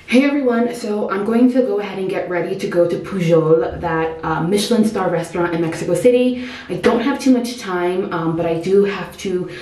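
Woman, 30s, travel vlogger: upbeat, chatty, mid-pitched, talking to camera at arm's length. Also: normal speed, few pauses, darkish tone, low-quality recording. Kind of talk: casual vlog talk to camera. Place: indoors at a tiled restaurant table and a hotel room.

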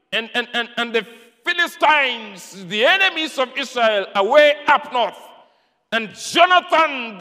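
A Kenyan man in his fifties preaching a sermon in an emphatic, declamatory way, with deliberate pauses and repetition. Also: very high voice, pushed and bright. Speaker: pastor. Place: large church, preaching from a pulpit. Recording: long hall reverb.

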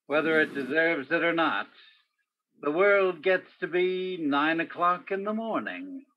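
A man playing a brooding, world-weary Broadway police detective in a radio play, with a measured, pensive, dramatic delivery. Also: on the high side, full character voice. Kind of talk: radio play acting with poetic noir narration. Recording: dull, muffled lo-fi audio.

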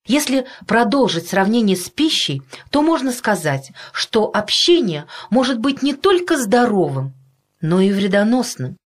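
Woman, audiobook narrator, mid-pitched voice, scripted nonfiction, articulate, measured, instructive delivery.

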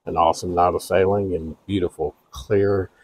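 A man giving a calm, matter-of-fact spoken log while on a night watch at sea. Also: low voice, moderate pace, a little hiss or hum, low-quality recording.

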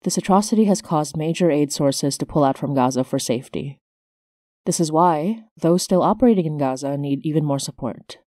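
A woman's voice: low voice, warm tone, no echo, clean studio sound. A woman hosting her podcast, reading scripted announcements as its creator.

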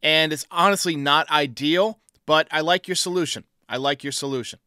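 A man's voice: fairly high pitch, moderate pace, bright, forceful tone, clear, resonant tone, dry recording. A man in his thirties hosting a sports fan show, giving commentary into a close studio mic. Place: small home studio, indoors. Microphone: close studio mic on boom arm.

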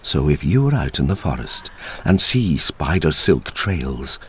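A man narrating a dark verse tale as a storyteller, theatrical, deliberate and ominous, with a husky deep voice. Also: big pitch swings. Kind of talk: dramatic scripted storytelling in verse.

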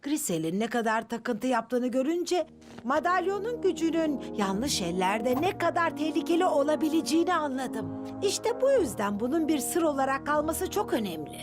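A kindly cartoon grandmother with a high voice. She tells things expressively, her pitch swinging widely, with music playing clearly behind her. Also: normal speed. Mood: earnest. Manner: expressive, storytelling. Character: kindly grandmother, storytelling keeper of a magic locket. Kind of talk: animated children's cartoon dub.

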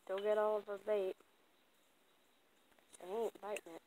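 A woman's voice: level tone, moderate pace, warm tone, poor audio. A woman vlogging while fishing at a pond, talking casually and coaxing the fish. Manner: low-key muttering, coaxing the fish. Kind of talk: casual running commentary. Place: outdoors at a pond's edge. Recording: outdoor noise with animal sounds.

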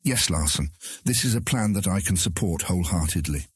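A man voicing a sly lord who feigns humble loyalty, with a very raspy voice and formal speech in a fantasy audiobook. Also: deep voice, lively intonation, fairly quick, no room sound, exaggerated voice. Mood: feigned support. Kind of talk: audiobook character acting.

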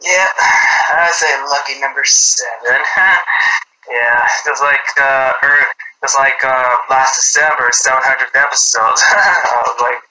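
A man's voice: medium pitch, bright, forceful tone. Young man with a scratchy sore throat. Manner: halting, many fillers, chuckles to himself. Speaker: vlog host and open mic poet. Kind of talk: rambling casual chat. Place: small home room on a video call. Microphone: laptop webcam mic.

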